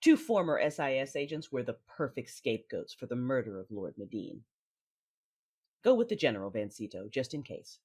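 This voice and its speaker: An actress playing a cold, commanding Sith mother who narrates her own story in a measured, menacing, controlled manner. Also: rumbling low, sing-song, a little mellow, slightly rough, full character voice. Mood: cool, commanding. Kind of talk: first-person audio drama narration with dialogue. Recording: music under the voice.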